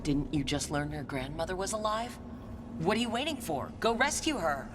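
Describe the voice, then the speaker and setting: An actor playing a calm, supportive fantasy hero in a video game, talking in a measured, earnest way. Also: medium pitch, lightly acted. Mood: encouraging. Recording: noisy game ambience behind.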